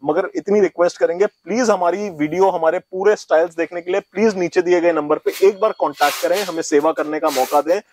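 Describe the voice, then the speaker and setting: Guy in his 30s doing a polite sales pitch in a shoe showroom, voice a bit high, lapel mic. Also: brisk, hardly any pauses, a little mellow. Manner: polite salesman patter, repeats selling points. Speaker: shoe factory representative. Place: shoe showroom and warehouse, indoors. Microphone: clip-on lapel mic.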